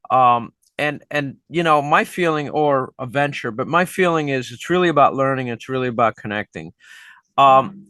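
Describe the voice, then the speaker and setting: A man's voice: mid-range voice, lively intonation, normal speed, bright, forceful tone, no echo, clean studio sound. A middle-aged man hosting an interview, a teacher who talks in a chatty, reflective way. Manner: chatty, reflective, many fillers. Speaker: host and teacher. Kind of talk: interview questions and comments.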